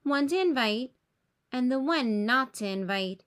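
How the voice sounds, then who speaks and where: Woman acting out a bossy little big sister, high-pitched. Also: animated, a little slow, fairly bright tone. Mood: scornful. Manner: exclaiming, scolding. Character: bossy, scornful young girl, a grumbling big sister.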